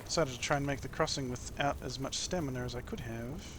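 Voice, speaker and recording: A man from New Zealand with a New Zealand accent, giving relaxed, rambling gameplay commentary full of ums, his sentences often rising at the end. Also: medium pitch, fast, noisy background. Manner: sentences ending with an upward inflection. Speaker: gaming YouTuber. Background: epic game soundtrack music.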